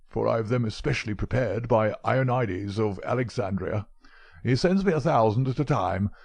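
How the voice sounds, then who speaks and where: A fussy, frail old scholar with a mincing, carefully chosen way of speaking, as a man puts him on. His voice sits well above the reader's own and pushes out louder and more insistent. It has a dry, close sound. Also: medium pitch, vocal fry, breathy edge, dry recording, voice pushed up. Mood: fussy, lamenting. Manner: mincing, precise speech. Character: frail old male professor, fussy, chain-smoking.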